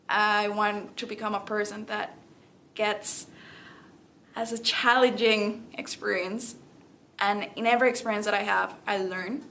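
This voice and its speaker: A woman's voice: medium pitch, vocal fry, a bit bright. Young Salvadoran-accented woman, noisy recording. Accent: Salvadoran Spanish. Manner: earnest, non-native phrasing. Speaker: student election candidate. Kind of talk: candidate interview, campaign pitch.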